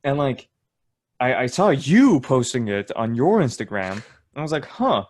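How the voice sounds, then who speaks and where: A man's voice: mid-range voice, very animated, normal speed. A young man co-hosting a casual podcast, rambling through jokey tangents and laughing often. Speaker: podcast co-host. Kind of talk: casual chat.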